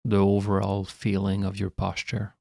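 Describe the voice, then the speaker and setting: Man, meditation guide, fairly deep voice, calm measured instructions, long pauses, very dry sound. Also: vocal fry, no echo.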